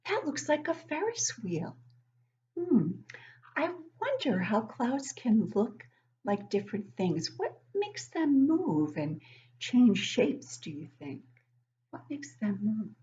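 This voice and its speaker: A woman's voice: mid-range voice, dark tone, highs cut off. A middle-aged woman hosting an interactive picture-book read-aloud for young children, encouraging them with questions and exclamations. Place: living room at home, indoors. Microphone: webcam at desk distance.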